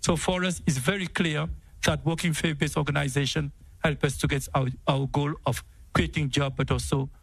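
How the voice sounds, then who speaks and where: A man from Senegal with a Senegalese accent, speaking haltingly as he answers an audience question as a panelist at a town hall. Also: a little high, steady tone, creaky, slightly rough. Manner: halting, repeating words. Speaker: development bank executive on a panel.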